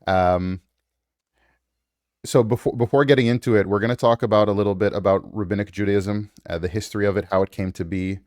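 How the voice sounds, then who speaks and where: A deep, smooth male voice hosts an interview over a video call. He speaks hesitantly, with many fillers, and the sound is dry and close. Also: fairly even intonation, a little fast, a little mellow, dry recording. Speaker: interview host. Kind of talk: casual interview.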